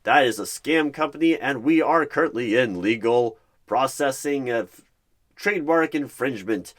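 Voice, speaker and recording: A man's voice: mid-range voice, expressive, normal speed, voice pushed up, natural voice. A man playing a nervous, defensive corporate recruiter in an interview roleplay, talking in emphatic, stilted office language with stumbles.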